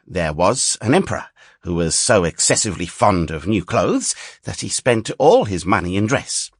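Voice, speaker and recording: A man narrating a fairy tale audiobook in a theatrical, expressive storytelling manner. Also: lowish voice, breathy edge, no room sound, clean studio sound.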